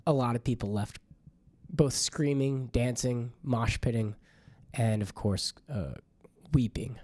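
Hoarse young man hosting a podcast. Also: fairly low pitch, darkish tone, dry recording. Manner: loose, rambling, exasperated. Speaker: podcast host. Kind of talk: casual monologue.

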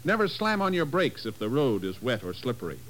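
A man's voice: mid-range voice, very animated, brisk, fairly bright tone, a little hiss or hum, low-quality recording. Formal 1950s radio announcer, male.

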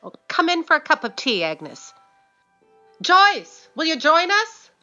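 A woman playing a calm, hospitable small-town neighbour in a radio play. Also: extremely high pitch, unhurried, highs cut off, faint music behind, heavily acted. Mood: friendly.

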